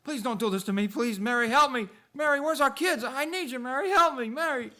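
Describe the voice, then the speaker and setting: A man's voice: very high-pitched, a little fast, pushed and bright, full character voice. A man playing a desperate, agitated family man who stammers and repeats himself in a radio play. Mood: frantic.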